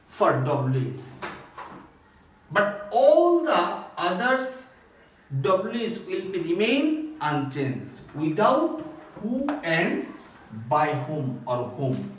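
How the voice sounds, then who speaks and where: A man with a Bangladeshi accent teaching a school English grammar lesson, explaining deliberately and emphatically phrase by phrase. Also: sits high, a little hiss or hum. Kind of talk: recorded classroom grammar lesson. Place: echoey indoor room at a board. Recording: very echoey room, distant microphone.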